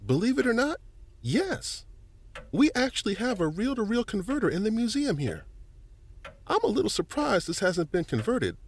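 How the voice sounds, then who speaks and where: A man's voice: high-pitched, big pitch swings, moderate pace, slight background noise. A man playing a friendly, folksy middle-aged museum volunteer in a family audio drama, chatty and fond of explaining things. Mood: intrigued, chatty. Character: friendly, folksy older male museum volunteer and newspaper editor.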